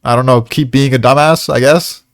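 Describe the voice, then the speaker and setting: A man's voice: medium pitch, steady tone, moderate pace, no echo, studio quality. A man chatting casually as co-host of a discussion show, rambling along in profane, slangy talk. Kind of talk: casual chat.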